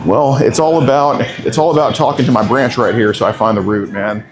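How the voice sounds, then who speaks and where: A man calmly questioning someone and then explaining the situation to police, in halting speech full of restarts, indoors at a casino. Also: medium pitch, rough recording. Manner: calm, halting, with many restarts. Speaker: sting confrontation host. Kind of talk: confrontational questioning.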